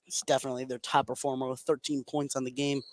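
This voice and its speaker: An American man doing live play-by-play basketball commentary on the radio, with excited calls of the action. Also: medium pitch, fast talker. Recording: live game broadcast with clear background noise. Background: sports venue noise.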